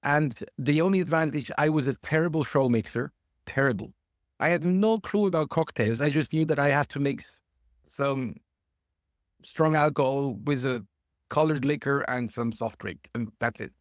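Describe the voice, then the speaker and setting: A man speaks as a podcast guest over a remote call, thoughtful and a little halting, stopping often to rephrase. His voice sits at an ordinary male pitch, and the sound is dry with no room echo. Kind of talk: casual interview chat.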